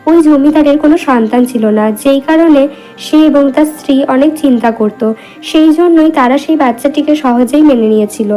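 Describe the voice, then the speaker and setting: A woman's voice: sits high, moderate pace, dark tone, soft background music, poor audio. A woman narrating a scripted cartoon moral story as a storyteller.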